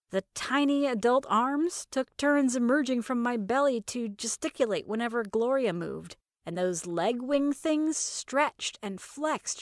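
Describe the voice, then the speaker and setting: A woman narrates first-person audio fiction with wry expressiveness. Her voice sits fairly high and moves in lively, animated swings, recorded dry and close. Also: no room sound.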